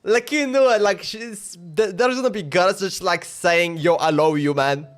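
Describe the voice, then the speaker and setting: A man's voice: high-pitched, normal speed. A man streaming a game, giving casual, slangy reactions and repeating himself. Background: game music.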